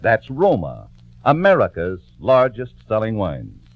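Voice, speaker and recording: Vintage radio sponsor announcer, male, polished sales delivery with big pitch swings, dull old recording. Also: huge pitch swings, unhurried, no room sound. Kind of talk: 1940s radio commercial announcing, scripted.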